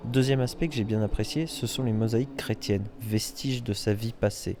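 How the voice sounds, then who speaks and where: A man hosting a travel vlog, narrating in a relaxed, wry tone that speaks straight to the viewer. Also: on the low side, moderate pace, very noisy. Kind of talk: voice-over narration.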